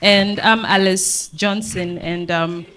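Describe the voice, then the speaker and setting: A woman's voice: medium pitch, steady tone, takes it slowly, a bit bright, slight background noise. A woman with a Ghanaian accent giving an informal thank-you speech on stage as a theatre director.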